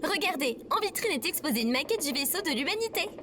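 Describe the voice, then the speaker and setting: High, lively woman playing a sarcastic AI companion. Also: quick pace, heavy background noise. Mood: matter-of-fact. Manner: formal, precise, playfully bossy. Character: sarcastic, chirpy female AI companion, irritatingly polite. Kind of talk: acted audio comedy drama.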